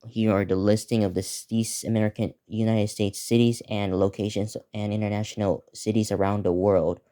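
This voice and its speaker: A teenage male video creator giving a casual, unscripted preview, talking in a halting, repetitive way as he lists things. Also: on the low side, little pitch movement, warm tone. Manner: halting, repetitive, listing place names.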